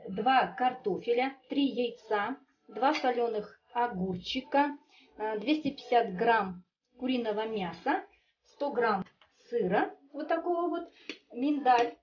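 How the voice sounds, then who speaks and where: A woman walking through a home recipe step by step in a chatty, homely way, recorded at a kitchen table. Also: on the high side. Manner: homely and chatty, with frequent little fillers. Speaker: home cook. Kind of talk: step-by-step cooking demonstration. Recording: distant, muffled, faint music behind.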